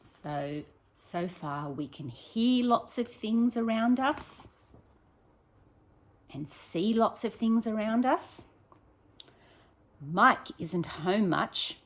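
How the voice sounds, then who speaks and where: A woman, a primary teacher reading a picture book aloud to young children, expressive and encouraging, with chatty questions to her listeners. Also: mid-range voice, drawn-out words, long pauses.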